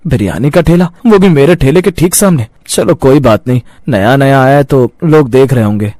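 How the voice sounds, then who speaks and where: A man's voice: medium pitch, lively intonation, moderate pace, mellow, dry recording, studio quality, close to an everyday voice. A man acting a hardworking, caring street food vendor and devoted husband in a cartoon moral story, with an earnest, affectionate manner. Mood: puzzled. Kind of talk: acted dialogue in a Hindi moral cartoon story.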